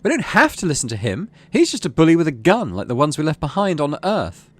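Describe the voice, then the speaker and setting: A man's voice: huge pitch swings, a little fast. A man voicing a defiant young female rebel from a video game, pleading with passion in a raised voice. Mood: defiant, urgent. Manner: impassioned, pleading, raised pitch. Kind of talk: acted dialogue read from a game.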